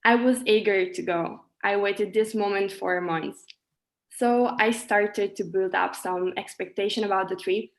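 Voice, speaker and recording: A woman's voice: mid-range voice, fairly even intonation, a little slow. A woman in her twenties from Italy giving an earnest, reflective personal testimony as a student. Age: 20s. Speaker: student speaker. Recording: echoey room.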